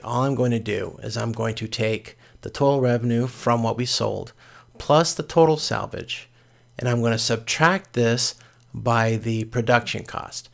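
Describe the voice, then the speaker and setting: A man giving an informal, step-by-step spreadsheet lecture as a course instructor, with a gravelly, creaky voice. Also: medium pitch. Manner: explains step by step in plain informal words.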